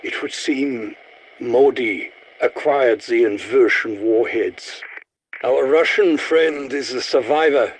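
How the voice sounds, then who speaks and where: An actor playing an aging, polite but indignant German scientist in a video game, speaking in a formal, pedantic, theatrical way with a German accent. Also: male voice, mid-range voice, slow pace, a little hiss or hum, close to an everyday voice, rough recording. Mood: dry, wry concern. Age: older. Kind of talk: video game character voice lines.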